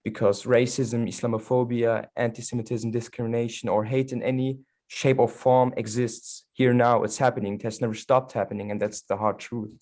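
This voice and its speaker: A man's voice: medium pitch, level tone, normal speed, hardly any pauses, darkish tone. A man from Berlin, an actor speaking in a panel conversation, fluent, earnest and emphatic. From Berlin, Germany.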